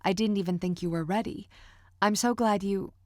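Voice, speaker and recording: A woman voicing a middle-aged mother who talks in a soft, caring, doting way. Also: medium pitch, steady tone, moderate pace, dry recording, studio quality, voice pushed up, natural voice. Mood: gushing.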